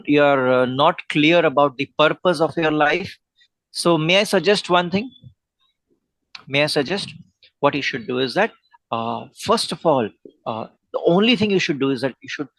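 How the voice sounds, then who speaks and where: Indian-accented male tutor, higher-pitched, dry sound, earnest and advice-giving. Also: animated, normal speed, a bit bright, no echo, low-quality recording. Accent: Indian English. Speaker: English conversation tutor. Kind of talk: one-on-one online English lesson.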